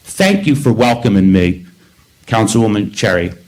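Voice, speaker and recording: A man's voice: on the low side, sing-song, moderate pace, a little mellow, slight background noise. A man speaking at a council meeting's public comment, emphatic and confrontational, repeating his points.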